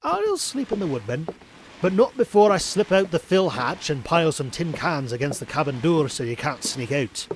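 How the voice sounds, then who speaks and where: A man's voice: a little high, very animated, normal speed, slight background noise. A man voicing a tough, proud young cartoon duck prospector in a fan comic dub, blunt and boastful. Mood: tough, matter-of-fact.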